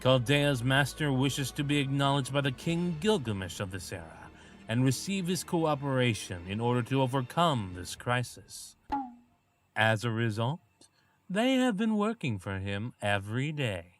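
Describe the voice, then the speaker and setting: A man reading scripted story narration in a measured, solemn manner. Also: mid-range voice, lively intonation, faint music behind, lightly acted.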